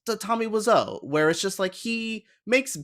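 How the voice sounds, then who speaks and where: A man's voice: sits high, normal speed, a bit bright. A man in his thirties chatting casually and with strong opinions as a podcast panelist, often interrupting himself. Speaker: podcast panelist discussing bad films.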